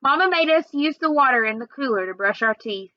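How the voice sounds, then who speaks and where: A woman reading a children's novel aloud in an expressive storytelling way. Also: sits high, a little fast, muffled recording. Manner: expressive, acts out dialogue. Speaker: reader.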